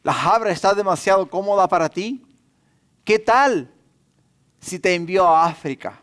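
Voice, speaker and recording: A man's voice: sits high, a little fast, fairly bright tone, clear, resonant tone. A middle-aged man preaching a sermon as a pastor, emphatically repeating phrases and posing rhetorical questions. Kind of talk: sermon in Spanish to a congregation.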